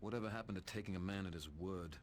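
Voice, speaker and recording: Man playing a low-voiced sardonic English vampire rogue. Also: fast talker, a little hiss or hum, natural voice. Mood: scheming, wry. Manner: sardonic, slangy. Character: cynical, cocky British male vampire, roguish reluctant ally. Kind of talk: video game cutscene acting.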